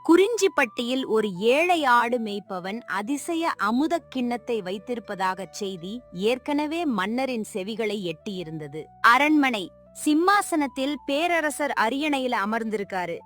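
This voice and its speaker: Lady narrator telling a dramatic fable, high voice, soft music behind her. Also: big pitch swings, unhurried. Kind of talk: dramatic scripted story narration.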